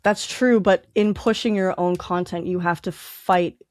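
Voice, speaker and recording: A woman's voice: fairly low pitch, steady tone, measured pace, dry recording, clean studio sound. A woman guest in a casual podcast interview, explaining things emphatically and repeating her key points. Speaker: podcast guest, independent music artist.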